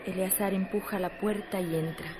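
A woman's voice: medium pitch, fairly even intonation, normal speed. Woman narrating radio drama over noise. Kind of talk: scripted literary narration. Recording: clear noise behind the voice.

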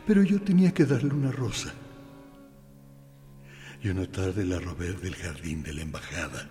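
An actor with a Uruguayan accent playing an earnest, intense boy, telling his story deliberately and emphatically in a radio play. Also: low voice, expressive, dark tone. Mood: earnest, hurt. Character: earnest, intense boy recounting small heartbreaks and grudges. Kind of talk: dramatic monologue in a radio play. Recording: long reverb, music bed underneath.

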